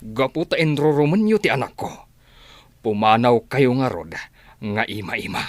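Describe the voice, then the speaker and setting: A man's voice: mid-range voice, animated, normal speed, no echo, close to an everyday voice. An actor with an Ilocano accent playing a stern, protective father in a radio drama, confronting and commanding. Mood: angry, commanding. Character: stern protective father ordering people out of his house.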